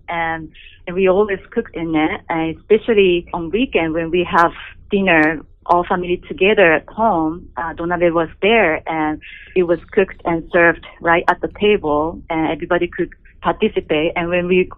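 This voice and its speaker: A woman's voice: on the low side, no room sound. A middle-aged woman from Japan with a Japanese accent, a cookbook author explaining things earnestly as a guest in a phone interview. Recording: tinny phone line.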